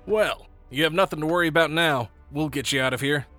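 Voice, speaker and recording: A man playing a brisk, outspoken soldier, second-in-command of his squad, in a science fiction audio drama. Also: fairly high pitch, sharp tone, soft background music. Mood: reassuring, wry. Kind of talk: sci-fi audio drama acting.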